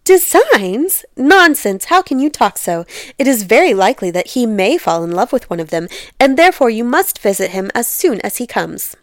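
A woman voicing a fussy, excitable, nagging middle-aged wife with a dramatic, complaining manner in a comic storybook reading. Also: a little high, normal speed, no room sound, clean studio sound. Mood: exasperated.

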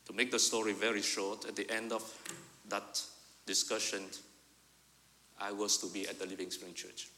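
A male pastor, preaching and telling a personal story, rising loud for emphasis and dropping to quiet asides. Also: fairly low pitch, level tone, moderate pace, pauses often, slight background noise. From Vietnam. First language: Vietnamese. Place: church sanctuary. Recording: reverberant church hall.

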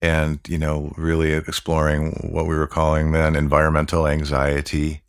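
A man hosting a podcast, talking in a calm, measured way with many fillers. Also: a bass voice, clean tone. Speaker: podcast host. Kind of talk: reflective podcast chat.

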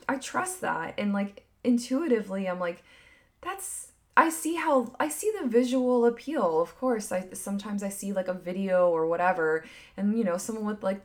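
A woman in her thirties chatting casually and candidly as a podcast host, swinging between emphatic bursts and hushed asides, with lots of filler words. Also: mid-range voice. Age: 30s. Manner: frequent filler "like", candid and swearing. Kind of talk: casual opinion chat.

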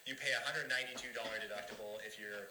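A man on a talk show guest spot explains insurance plans step by step, upbeat and chuckling now and then. He talks fast in a very bright voice of middle pitch. The studio sounds roomy. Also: fairly even intonation, slight background noise. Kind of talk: casual explaining.

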